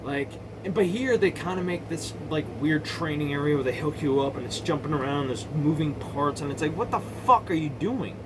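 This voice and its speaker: A man's voice: a little high, animated, normal speed, few pauses, noisy background. A man giving casual, rambling movie commentary in an opinionated, sweary and emphatic way. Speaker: amateur movie reviewer.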